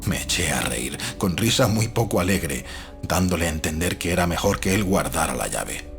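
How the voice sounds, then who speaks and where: Deep-voiced man narrating gothic horror. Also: lively intonation, moderate pace, creaky, fairly bright tone. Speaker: audiobook narrator. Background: faint music.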